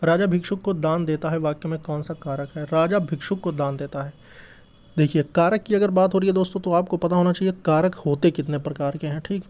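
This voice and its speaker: A man's voice: on the high side, steady tone, a little fast, darkish tone. A man teaching exam prep, explaining quiz answers step by step and often checking in with his listeners. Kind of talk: explanatory lesson going through quiz questions. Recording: dull lo-fi recording.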